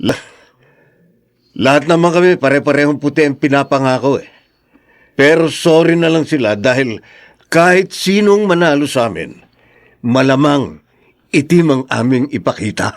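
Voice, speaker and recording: A man's voice: medium pitch, animated, moderate pace, pauses often, close to an everyday voice. A man acting in a radio drama as an arrogant, bitter son and political candidate, with an emphatic, accusing delivery. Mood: haughty.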